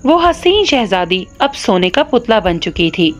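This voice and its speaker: A woman narrating a scripted children's cartoon story in an expressive storytelling manner. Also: mid-range voice, unhurried, faint music behind.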